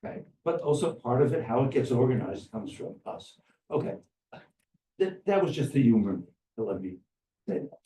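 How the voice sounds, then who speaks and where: An older man giving informal, rambling remarks to a gathering, often halting and restarting his phrases. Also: medium pitch, big pitch swings, dark tone. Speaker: academic philosopher and speaker at a conference. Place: echoey room at a conference. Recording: roomy, distant microphone, faint background noise.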